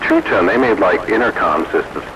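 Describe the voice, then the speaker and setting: A man's voice: low voice, lively intonation, normal speed. A man making casual banter as an operator over CB radio. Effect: CB radio transmission. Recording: very noisy CB radio reception.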